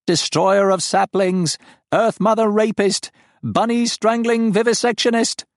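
A man's voice: steady tone, measured pace, dry recording, studio quality. A man voicing a distraught, many-legged alien farm creature with a strained, unnatural voice, wailing and pleading melodramatically. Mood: anguished. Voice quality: high, strained, unnatural timbre.

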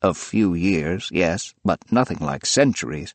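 A man playing a level-headed professor who answers slow and steady and matter-of-fact, never raising his voice. it's a dry, close recording with no room sound. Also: low voice, vocal fry, dry recording, heavily acted. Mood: calm. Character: calm, measured male time-travel professor.

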